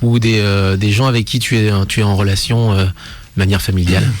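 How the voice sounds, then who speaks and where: A man's voice: lowish voice, steady tone, brisk. A man hosting a radio show in casual chat, rambling and correcting himself with many fillers. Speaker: radio host.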